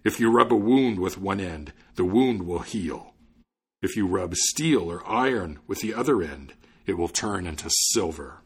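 A man voicing a huge, boastful spirit with a frightening, forceful, threatening and contemptuous delivery, as in a storybook. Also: sits low, lively intonation, unhurried, slightly rough, full character voice. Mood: imperious. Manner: threatening, imperious.

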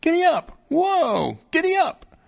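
A man's voice: high-pitched, sing-song, normal speed, pitched above the performer's own voice, heavily acted. A man voicing a tiny, clever, confident boy in a storybook reading, chirpy, insistent and full of exclamations. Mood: shouting commands. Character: tiny, clever, confident thumb-sized boy, eager and cheeky.

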